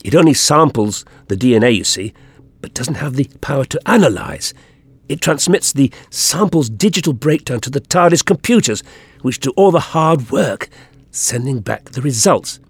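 Guy doing a rambling clever eccentric traveller, husky, hesitant, voice wandering up and down, audiobook. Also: medium pitch, expressive, normal speed, no echo, voice pushed up. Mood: explaining. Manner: hesitant, stammering, musing. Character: rambling, clever, whimsical male time traveller.

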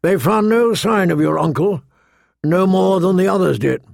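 A man voicing a gruff, grumbling old male military commander who sounds weary and disdainful. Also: high voice, expressive, normal speed, darkish tone, no room sound, clean studio sound, voice pushed up, full character voice. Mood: grave.

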